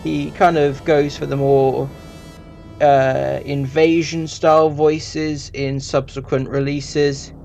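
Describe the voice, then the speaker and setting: A man giving an informal review commentary as a reviewer, in a conversational, somewhat hesitant and measured manner. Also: fairly high pitch, flat delivery, vocal fry, a little mellow, slight background noise.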